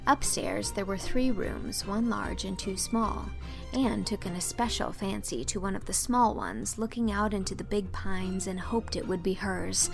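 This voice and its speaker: Female literary narrator; medium-pitched with a touch of fry, scripted audiobook read. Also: a little slow, faint music behind.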